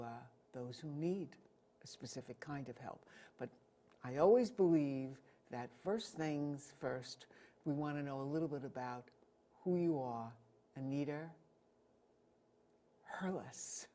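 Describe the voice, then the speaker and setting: A woman hosting a conversational broadcast interview, speaking in deliberate, halting phrases. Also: extremely low pitch, dark tone. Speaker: talk show host and interviewer.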